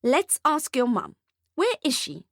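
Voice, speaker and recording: A woman's voice: high voice, wildly swooping pitch, unhurried, vocal fry, fairly bright tone, no room sound, clean studio sound, pitched above the performer's own voice, exaggerated voice. A woman voicing a pushy, crude man who works as a mall photographer in a storybook, salesy and brusque.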